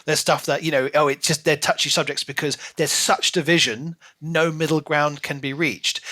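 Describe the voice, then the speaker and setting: Bright-voiced man chatting about books. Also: fairly high pitch, fairly even intonation, moderate pace. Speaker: podcast co-host. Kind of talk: casual book discussion.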